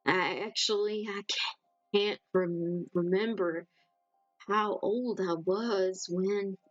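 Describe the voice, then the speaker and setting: Woman acting a timid ghost, slow halting speech, gentle, confused, very polite, storybook drama, dry close recording. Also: mid-range voice, fairly even intonation, dry recording, lo-fi sound, soft background music.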